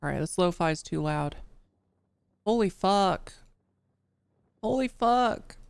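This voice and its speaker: A woman's voice: on the low side, expressive, takes it slowly, gravelly, clear, resonant tone, no room sound. A tired woman live-streaming while coding, who swears freely and mutters to herself as she works, her voice worn down. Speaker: livestreamer.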